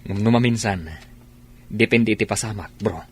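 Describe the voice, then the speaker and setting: A man's voice: on the low side, normal speed, darkish tone, breathy edge. A man acting in a radio drama as a loyal soldier who reasons patiently with a comrade. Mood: reasoning.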